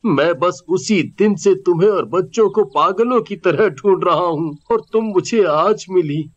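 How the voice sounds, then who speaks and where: An anguished, loving husband in an animated story, with a high voice and slow, halting speech. The sound is dull and lo-fi, with faint music underneath. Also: male voice. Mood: earnest. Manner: halting, emotional.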